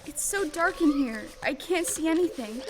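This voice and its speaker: Woman doing a little farm girl, really high voice, polite n curious, stagey, noisy live recording. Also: lively intonation, moderate pace. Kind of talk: stage audio play acting.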